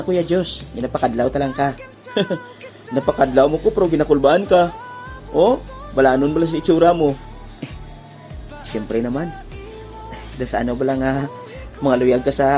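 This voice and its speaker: A woman's voice: extremely low pitch, measured pace, long pauses, warm tone, soft background music. Woman acting lovesick in audio drama.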